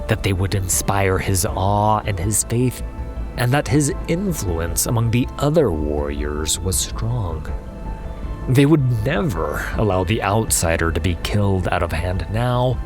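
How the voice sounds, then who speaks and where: A man narrating a scripted fantasy story as a dramatic audiobook narrator. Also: low voice. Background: music.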